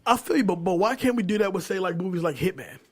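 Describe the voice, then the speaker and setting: Higher-pitched man, opinionated podcast talker. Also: sing-song, fast talker. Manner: opinionated, profane. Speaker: podcast co-host discussing movies. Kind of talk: casual chat among friends.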